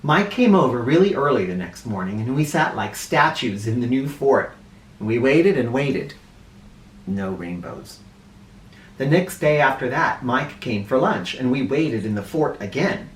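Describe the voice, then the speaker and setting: A man tells a children's story in a roomy, echoing space, some distance from the microphone. His delivery swings widely in pitch, theatrical and animated, leaning on key words with drawn-out emphasis. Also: a little hiss or hum. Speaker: children's read-aloud storyteller. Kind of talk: expressive storybook read-aloud. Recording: roomy echo, distant mic.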